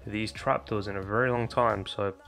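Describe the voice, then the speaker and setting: A young man with a slightly hoarse voice casually talking viewers through a building tutorial, addressing them as guys. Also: on the low side, steady tone, normal speed, a bit bright. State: slightly hoarse, losing his voice. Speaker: Minecraft building tutorial creator. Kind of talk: casual gameplay tutorial commentary. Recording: faint background music.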